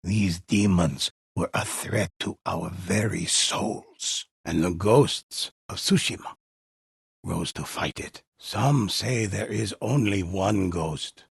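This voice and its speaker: Older mystic storyteller, video game narration, solemn, portentous, gravelly, unhurried, close dry sound. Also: male voice, low-pitched, no room sound, exaggerated voice. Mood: solemn, mysterious. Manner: solemn, measured, portentous delivery. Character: mysterious older male storyteller, solemn, reverent teller of samurai legends.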